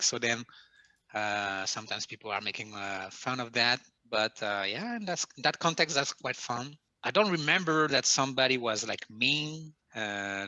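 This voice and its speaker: A man's voice: mid-range voice, sharp tone, no room sound. A man from France whose first language is French, speaking English as a guest in a casual chat, hesitant with many fillers.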